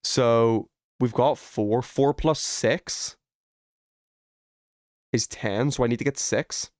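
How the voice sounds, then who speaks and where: A man's voice: medium pitch, slow pace, a little mellow, no room sound, clean studio sound. A man live streaming, chatting casually and thinking aloud as he plays a video game. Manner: informal, thinking aloud while working out puzzles. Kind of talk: casual chat while playing a video game.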